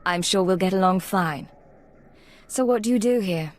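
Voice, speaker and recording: An actress playing a composed, dutiful young imperial agent in a video game, cool, polite and formal. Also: female voice, medium pitch, moderate pace, close to an everyday voice. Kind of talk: video game cutscene acting.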